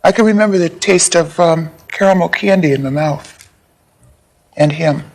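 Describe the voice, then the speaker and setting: A woman giving personal testimony in an interview, halting and reflective as she speaks. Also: fairly low pitch, darkish tone. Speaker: interviewee, abuse survivor.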